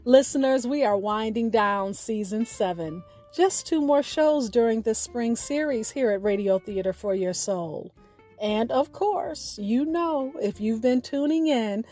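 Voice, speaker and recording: A woman hosting a storytelling podcast, speaking in a measured, deliberate way as if from a script, with a poetic touch. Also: a little high, warm tone. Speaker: podcast host. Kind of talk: scripted radio show sign-off with spoken poetry.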